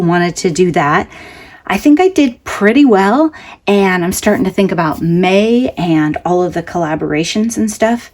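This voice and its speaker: A woman with a congested voice gives a casual, chatty craft update as host, rambling conversationally with self-joking asides. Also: on the low side. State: congested from allergies.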